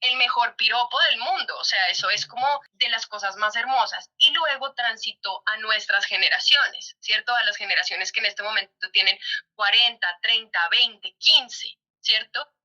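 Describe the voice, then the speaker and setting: High, very bright woman in interview. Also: moderate pace, highs cut off. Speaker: theatre performer being interviewed. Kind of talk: conversational interview answer.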